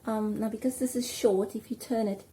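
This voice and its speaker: A South African woman chatting casually at home while doing her hair, rambling and filling pauses with ums. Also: on the high side, fairly even intonation, warm tone, a little hiss or hum. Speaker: vlogger. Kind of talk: casual hair tutorial chat.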